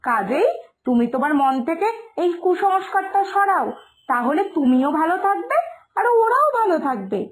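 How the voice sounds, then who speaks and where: A woman's voice: very high-pitched, sing-song, moderate pace. A woman voicing a sensible, outspoken young daughter in a cartoon moral tale, lecturing earnestly. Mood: explaining, earnest. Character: sensible, outspoken young daughter arguing patiently against superstition. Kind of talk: Bengali moral-story cartoon dialogue. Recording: music behind the voice.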